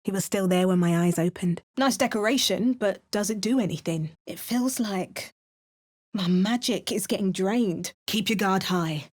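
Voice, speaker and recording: A woman's voice: mid-range voice, moderate pace, darkish tone, close to an everyday voice. A woman voicing a wry, battle-hardened adult adventurer who makes dry, sardonic asides and narrates her journey. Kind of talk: video game character barks and narration.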